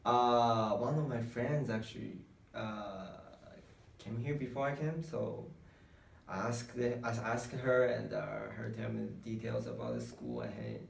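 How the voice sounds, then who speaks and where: A young man from Japan with a Japanese accent, answering interview questions casually in halting non-native English, often repeating words. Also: fairly low pitch, gravelly, slight background noise. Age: young adult. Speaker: international student being interviewed. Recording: roomy echo, distant microphone.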